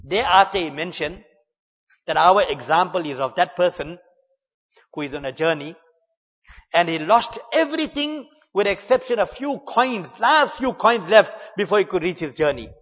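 A man giving an earnest, measured religious talk, mixing in Arabic and Urdu religious terms. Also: high voice, animated, fairly bright tone, slightly rough, big-room sound, muffled recording. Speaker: Islamic preacher.

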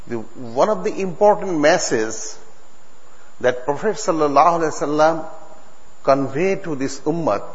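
Bangladeshi man preaching in a reverberant hall. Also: on the high side. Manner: earnest, halting, emphatic, repeating phrases in non-native English. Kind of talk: religious sermon to a congregation. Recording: dull recording in a long-reverb hall.

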